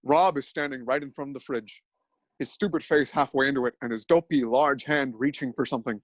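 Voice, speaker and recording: A man narrating a scripted dramatic reading, telling absurd events in an earnest, deadpan way. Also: medium pitch, a little fast, no room sound, low-quality recording.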